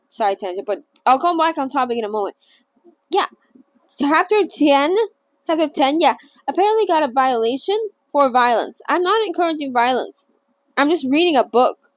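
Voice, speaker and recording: A woman's voice: high voice, no room sound. A woman hosting a casual read-along of a novel, chatty and a little self-conscious, with lots of fillers and laughs. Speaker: channel host reading a novel aloud.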